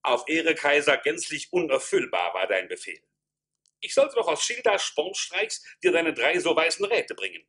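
An actor playing a harried, aggrieved imperial envoy in a radio play, speaking in archaic courtly phrases. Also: male voice, fairly high pitch, sing-song, normal speed, pushed and bright, breathy edge, full character voice. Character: harried male imperial envoy reporting to his emperor. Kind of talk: German radio play acting.

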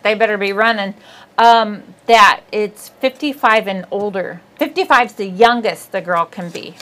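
A bright, forceful woman's voice with a Midwestern accent, chatting and joking in a small studio. She is in her forties and from Ohio, and she tells her stories in a loose, laughing way. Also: mid-range voice, unhurried. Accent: Midwestern American. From Ohio, USA. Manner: chatty, laughing, bawdy storyteller. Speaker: comedian host. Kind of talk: casual comedic chat. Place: small studio set, seated indoors.

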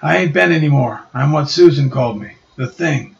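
The Thing, as a man puts him on: gruff brash brute. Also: fairly high pitch, normal speed, voice pushed up. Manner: brash, taunting, tough-guy slang. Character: Ben the Thing, gruff surly strong man. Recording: dull roomy home recording.

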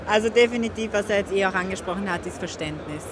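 A woman's voice: mid-range voice, fast. A woman in her thirties giving candid, conversational interview answers, searching for words, into a handheld recorder. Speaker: interviewed fitness coach. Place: large indoor fitness expo hall. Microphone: handheld recorder held out to speaker. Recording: noisy busy trade fair hall.

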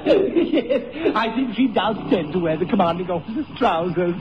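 A man's voice: high-pitched, animated, normal speed, noisy background. An actor playing a polite, fussy, easily exasperated man in a 1960s radio sitcom. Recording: muffled old radio recording, studio audience.

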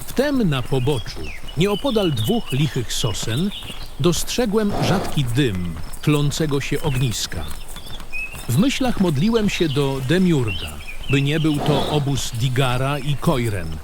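A man's voice: mid-range voice, big pitch swings, slow pace, noisy background. A man narrating a scripted fantasy audio drama in the first person, with a dramatic storytelling delivery. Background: background music.

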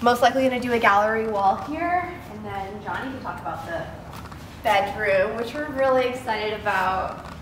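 A young American woman presents a house tour in a casual, enthusiastic way. Her voice sits at a middle pitch. She is a little way from the mic in an echoey, bare room with noticeable background noise. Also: lively intonation, moderate pace, recorded from a distance. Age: young adult. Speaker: vlogger, homeowner giving a tour. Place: bare framed house interior, indoors.

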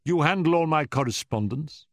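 A man's voice: a little high, lively intonation, normal speed, no echo, studio quality, voice pushed up. A man voicing a malicious, irascible elderly professor in an audiobook story, with a pompous, cutting manner. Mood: angry. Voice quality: screaming at peaks.

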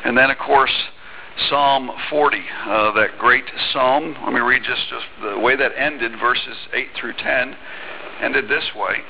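A man's voice: medium pitch, a little slow, gravelly, highs cut off, a little hiss or hum. A middle-aged man preaching a sermon in a church on a headset mic, talking conversationally with small restarts and reading scripture aloud. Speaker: pastor. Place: church sanctuary.

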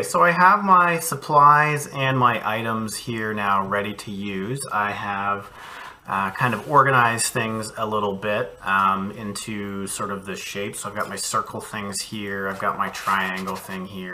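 A man in his thirties, an art educator, guiding children through a craft project at home in a relaxed, chatty way with many ums and uhs. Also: medium pitch, a little hiss or hum. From Canada. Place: small home studio.

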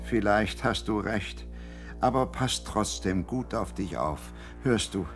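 A man's voice: fairly low pitch, expressive, slow pace, soft background music. An actor playing an anxious, grave old father in a biblical German radio play. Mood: pleading. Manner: worried, grave.

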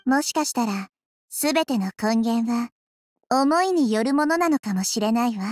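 A woman voicing a small, wise and curious girl deity in an anime game, speaking gently and thoughtfully. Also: a little high, lively intonation, a little hiss or hum, natural voice. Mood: thoughtful, serious. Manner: gentle, thoughtful, measured feminine speech. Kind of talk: Japanese anime game voice acting.

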